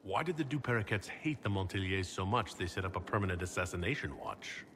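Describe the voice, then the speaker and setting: An actor playing a blunt, commanding leader in a fantasy game cutscene, terse and direct. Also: male voice, sits low, lively intonation, fast, a bit bright. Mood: blunt, serious. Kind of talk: scripted video game cutscene acting. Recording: long hall reverb, background ambience noise.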